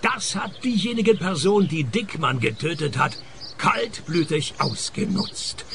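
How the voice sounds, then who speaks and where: A man acting a wry, deadpan private detective in a radio play. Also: fairly high pitch, measured pace, creaky, background noise. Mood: matter-of-fact accusing. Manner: dry, deadpan. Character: wry, deadpan private detective narrating his own cases.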